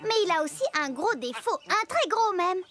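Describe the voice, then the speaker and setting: A woman's voice: very high voice, very animated, moderate pace, very noisy. A woman voicing a playful, teasing childlike storyteller who narrates a cartoon for children. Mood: confiding. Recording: loud music under the voice.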